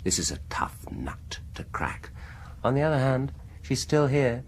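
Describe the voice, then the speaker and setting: Radio thriller: a persuasive British dentist, lowish and very expressive, deliberate, theatrical, hushed at moments, music underneath. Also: male voice, a little hiss or hum. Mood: coaxing, seductive. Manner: persuasive, deliberate, theatrical, dropping into near-whispers. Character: smooth-talking, insistent male dentist coaxing a frightened patient. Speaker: actor in a radio drama. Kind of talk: radio horror drama acting. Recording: radio drama with music behind.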